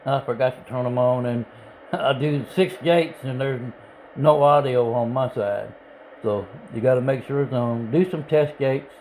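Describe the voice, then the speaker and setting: Halting man over noticeable background noise. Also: mid-range voice, darkish tone, low-quality recording. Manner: halting, plain-spoken, self-deprecating. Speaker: hobbyist video tutorial presenter. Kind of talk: informal hands-on tutorial. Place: indoors at a home radio bench. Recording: clear background noise, room ambience.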